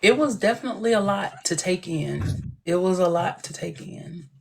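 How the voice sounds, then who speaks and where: A woman's voice: on the low side, moderate pace, creaky, darkish tone, breathy edge. A woman in her thirties hosting a casual livestream, chatting in playful, affectionate slang with viewers and a guest. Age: 30s. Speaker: livestream host.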